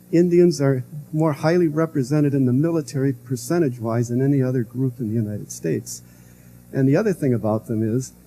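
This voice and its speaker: Soft-spoken older man lecturing. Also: mid-range voice, slight background noise, low-quality recording. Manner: soft-spoken, halting with many fillers. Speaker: guest lecturer. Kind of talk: public lecture. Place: lecture hall. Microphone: podium microphone.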